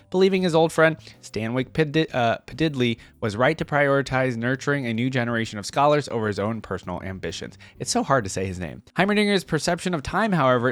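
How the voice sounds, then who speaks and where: A man reading an article aloud for a reaction video, adding casual conversational asides as he goes. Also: mid-range voice.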